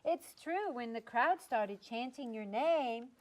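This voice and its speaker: A woman playing a wistful, frank former girlfriend of a rock star in a script read, earnest and gently needling. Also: on the high side, animated, takes it slowly, muffled recording. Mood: admiring. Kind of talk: script table read acting.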